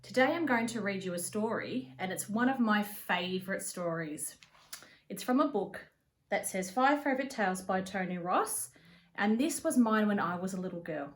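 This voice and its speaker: A woman reading a children's picture book aloud as a storyteller, with an expressive, deliberate delivery. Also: mid-range voice. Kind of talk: read-aloud for young children.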